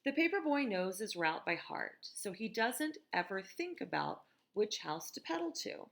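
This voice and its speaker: An American woman reading a picture book aloud to children in a gentle, expressive teacher's manner, with conversational asides. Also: mid-range voice, moderate pace, gravelly. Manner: gentle, expressive, asks listeners questions.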